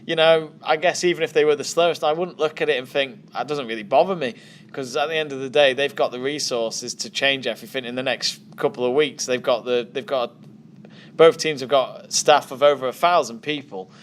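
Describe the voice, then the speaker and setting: A man's voice: medium pitch, brisk, a bit bright, slight background noise. A man, a podcast guest giving joking, rambling opinions in casual chat.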